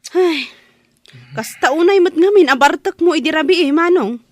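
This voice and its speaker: A woman's voice: very high voice, lively intonation, moderate pace, no echo. A woman acting in an audio drama as a nagging Filipino village woman who chides a drinker. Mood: chiding. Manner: chiding.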